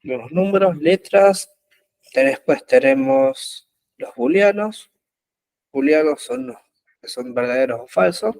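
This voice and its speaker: A man teaching an online web development class, explaining code slowly with frequent pauses and fillers. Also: fairly high pitch, expressive, creaky, a little mellow, no room sound. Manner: slow, halting explanation with many pauses and fillers. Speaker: programming instructor. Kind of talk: live online coding class. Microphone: computer mic in an online class.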